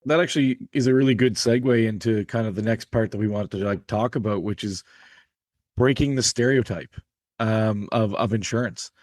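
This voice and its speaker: A man's voice: on the low side, moderate pace, darkish tone. A man hosting a podcast and asking loose, filler-heavy questions. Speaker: podcast host. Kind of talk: interview questions.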